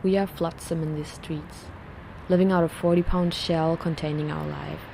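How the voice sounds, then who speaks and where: A woman reading a reflective, poetic voice-over narration in a calm, measured way. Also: low voice, gravelly, noisy background.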